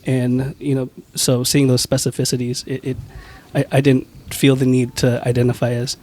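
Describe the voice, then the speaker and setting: A man from Washington State talks as a guest on a podcast panel. He is measured and hesitant, and often starts his sentences over. His pitch stays flat and even. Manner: measured, hesitant, many restarts and "you know". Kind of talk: casual panel chat.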